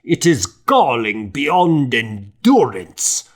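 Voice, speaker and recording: A man's voice: medium pitch, very animated, very slow, breathy edge, voice pushed up, exaggerated voice. A man voicing a zealous, indignant old monk in an audiobook, fervent and strained as he declaims. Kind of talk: audiobook character acting.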